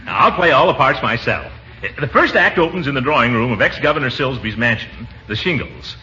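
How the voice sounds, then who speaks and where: An actor playing a pompous, middle-aged comic uncle who blusters and chuckles, in 1940s radio sitcom style. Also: male voice, medium pitch, expressive, brisk, pushed and bright. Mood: self-important. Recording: muffled, noisy 1940s radio broadcast.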